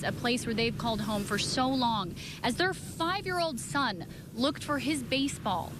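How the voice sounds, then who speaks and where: Noisy outdoor tv report, american woman reporter, highish voice. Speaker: TV news field reporter. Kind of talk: live news report. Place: outdoors in a neighborhood. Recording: clear outdoor background noise.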